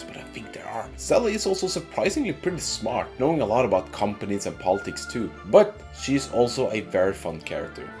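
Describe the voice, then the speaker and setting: A male game reviewer talks casually with very animated pitch movement. His voice sits a little low, and he gives his opinions in an informal, emphatic way. Faint music plays underneath. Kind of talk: casual opinion commentary, ranking characters. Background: background music.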